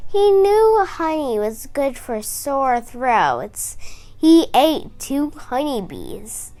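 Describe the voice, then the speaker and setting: A woman reading a children's picture book aloud in a slow, expressive storytelling manner. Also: high-pitched.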